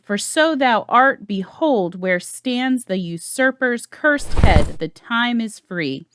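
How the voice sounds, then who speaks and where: A woman's voice: fairly high pitch, lively intonation, slow pace, fairly bright tone, smooth. A woman playing a fierce, vengeful warrior thane in an amateur Shakespearean dramatic reading. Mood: triumphant. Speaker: amateur reader in library staff dramatic reading. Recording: noticeable background noise, clicks.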